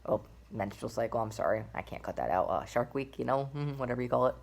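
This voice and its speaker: Young man with a gravelly voice. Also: mid-range voice, animated, fast. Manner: rambling, many fillers and restarts. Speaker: vlogger. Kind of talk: casual personal vlog. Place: small bedroom indoors.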